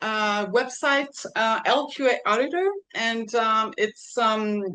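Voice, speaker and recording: A woman presenting a tool over a video call, hesitant and filling pauses with ums and apologies. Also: on the high side, pushed and bright. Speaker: conference presenter. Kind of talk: live online tech demo.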